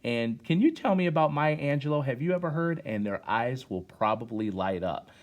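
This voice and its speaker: A man's voice: medium pitch, animated, normal speed. American man leading children's story time. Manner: conversational, addresses children with questions and encouragement. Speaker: guest storyteller, former teacher. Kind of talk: children's story time, reading a poem aloud with asides.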